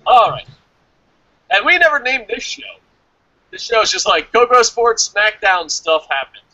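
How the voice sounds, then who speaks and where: A man's voice: high voice, very animated, a little slow, sharp tone, no room sound, rough recording. A man co-hosting a wrestling review show in casual, opinionated chat, speaking bluntly and with plenty of swearing. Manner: profane, blunt.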